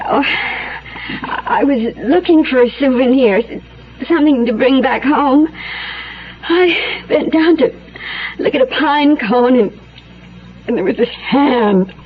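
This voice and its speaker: An actress playing a shaken, timid wife in a radio drama, faltering and distressed. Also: female voice, high voice, slow pace, long pauses, slight background noise. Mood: distraught. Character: shaken, timid wife who found something horrible. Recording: muffled old radio broadcast.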